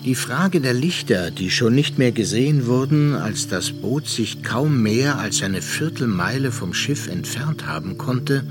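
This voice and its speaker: An actor playing a measured, thoughtful narrator and confidant, speaking deliberately in a literary radio drama. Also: male voice, mid-range voice, lively intonation, music in the background, close to an everyday voice. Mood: reflective.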